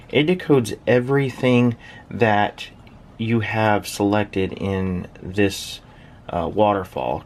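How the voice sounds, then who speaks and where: A man's voice: lowish voice, a little hiss or hum. A man giving a casual, unscripted hobby demonstration, rambling and hesitant as he thinks aloud. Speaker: hobbyist shortwave listener presenting own station. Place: small uninsulated shed with workbench.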